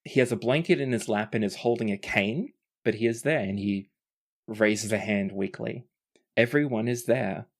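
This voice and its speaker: A game master runs a tabletop story in a mid-pitched voice. The delivery is conversational storytelling, describing the scene and briefly voicing the characters along the way. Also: moderate pace.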